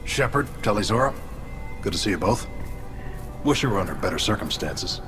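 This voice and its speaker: This is a man acting as an alien soldier in a video game. The voice is low, the phrasing terse and matter-of-fact, and he comes across as loyal and respectful over clear music. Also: expressive, brisk, lightly acted. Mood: plain, cordial.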